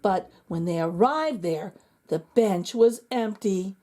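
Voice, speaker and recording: A woman reading a children's storybook aloud in an expressive, emphatic way. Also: mid-range voice, takes it slowly. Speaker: children's book reader. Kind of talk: storybook read-aloud narration.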